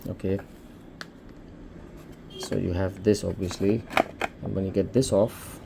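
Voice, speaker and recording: A man's voice: low voice, fairly even intonation, measured pace, gravelly, heavy background noise. A man with an Indian accent casually presenting an unboxing for a shop, explaining things informally step by step. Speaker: shop presenter demonstrating a product.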